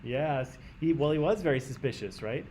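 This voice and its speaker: A Canadian man teaching a class in a conversational way, often checking in with "right?" and clearing his throat. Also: mid-range voice, moderate pace, a little mellow, slight background noise. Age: adult. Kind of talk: classroom lecture.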